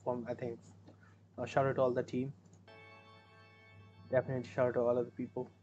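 A man's voice: mid-range voice, monotone, a little fast, darkish tone, lo-fi sound. Chatty Indian man reacting to music. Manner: rambling, self-correcting, chatty. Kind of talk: casual reaction commentary. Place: small room indoors.

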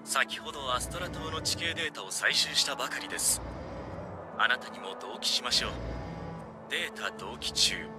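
An actor playing a small, polite, helpful robot caretaker in an anime game, speaking in formal, announcement-like phrases. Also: male voice, mid-range voice, moderate pace, natural voice. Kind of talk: Japanese anime game dub acting. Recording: game music behind.

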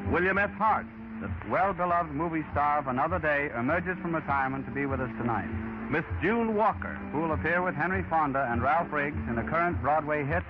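A man announcing acts on a radio show in a formal, declamatory 1930s style. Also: a little high. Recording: muffled 1930s radio recording with music.

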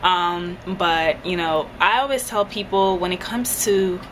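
A young woman with a fairly low voice, chatting casually to her camera about her hair. She hesitates often with ums and small laughs. The recording has a clear steady hiss underneath. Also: unhurried. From Jamaica. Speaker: beauty vlogger answering viewer questions. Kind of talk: casual Q&A chat. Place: indoors, bedroom in front of a headboard.

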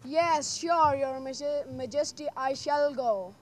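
A teenage girl playing a dutiful young court minister in a school drama, deferential and a little halting. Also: high voice, a little hiss or hum. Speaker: student actor.

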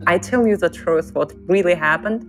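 Young Russian-accented woman, earnest and halting. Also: lowish voice, soft background music. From Siberia, Russia. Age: young adult. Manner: earnest, searching for words with fillers. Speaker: interviewee. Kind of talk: interview excerpt.

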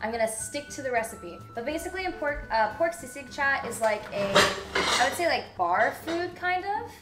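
A woman's voice: high voice, expressive, recorded from a distance. A young woman hosting a casual cooking stream in her home kitchen, chatting and giggling to her viewers. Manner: chatty, giggly, fast rambling asides. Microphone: room mic from a fixed camera. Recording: echoey kitchen with music behind. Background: background music.

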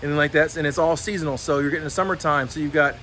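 A man talks quickly and casually about farming. His voice sits a little high for a man, and he is recorded outdoors on a phone with some noise around him. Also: fairly even intonation, fairly bright tone. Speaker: farmer showing the farm. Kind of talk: casual explanatory chat on a farm tour. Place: outdoors and in greenhouses on a farm. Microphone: phone camera a step away.

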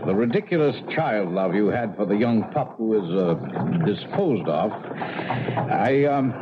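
A man playing a pompous, possessive eighteenth-century aristocrat in a radio drama, speaking in ornate, halting phrases. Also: on the low side, animated. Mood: smug, possessive. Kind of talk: 1970s radio drama acting. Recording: tinny, noisy 1970s radio broadcast.

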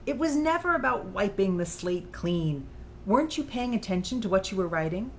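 A probing, composed female author acted by a woman lectures and challenges with precision at a middling pitch. Insistent audiobook dialogue, recorded with noticeable background noise. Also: animated, normal speed, natural voice.

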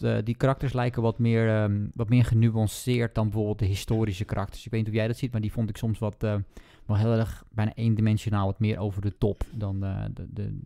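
A man chatting casually about books as a podcast host, speaking haltingly and hedging as he thinks aloud. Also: fairly low pitch, flat delivery, vocal fry, dark tone, dry recording, studio quality. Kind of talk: casual book discussion.

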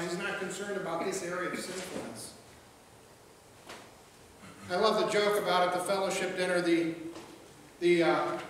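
A high-pitched, middle-aged man preaches at a pulpit, chatty and loose, doubling back on his words. He is picked up from a distance in a big church hall with a long, ringing reverb and a faint room hiss. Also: fairly bright tone. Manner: conversational, repeats words as he goes. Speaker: pastor. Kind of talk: sermon with jokes and quoted voices. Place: large church sanctuary, at a pulpit. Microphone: pulpit mic, filmed from a far camera. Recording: echoey hall, far-off mic, faint ambience.

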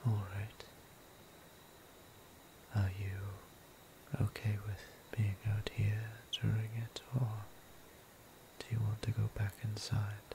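A man playing a gentle, courteous, centuries-old vampire priest in an intimate ASMR roleplay, speaking formally and tenderly in a hushed half-whisper. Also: lowish voice, completely flat delivery, slow pace, vocal fry, long pauses, noisy background. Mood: concerned, hesitant.

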